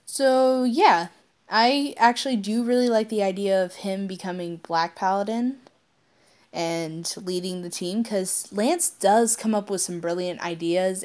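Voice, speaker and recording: A woman's voice: mid-range voice, lively intonation, measured pace, a little mellow. A woman with a mid-range voice speaks as an amateur channel host. She reads fan fiction and talks to listeners in a casual way. Her delivery is informal and unpolished, with occasional stumbles. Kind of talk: reading stories aloud and chatting casually to listeners.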